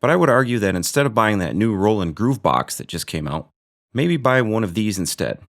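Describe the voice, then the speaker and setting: Guy w a lowish voice doing a scripted gear review, dry jokey asides. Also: very animated, brisk. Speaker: video host reviewing gear.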